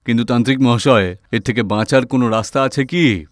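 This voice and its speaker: An actor playing a worried village elder in an animated horror story. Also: male voice, mid-range voice, fairly quick, dry recording, studio quality, natural voice. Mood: concerned.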